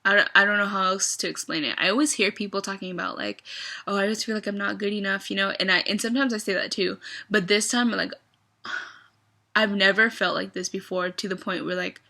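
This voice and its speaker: A woman's voice: mid-range voice, brisk, vocal fry, sharp tone. A young woman in a casual, confessional vlog, talking in a rambling, hesitant way with many fillers. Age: young adult. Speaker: vlogger.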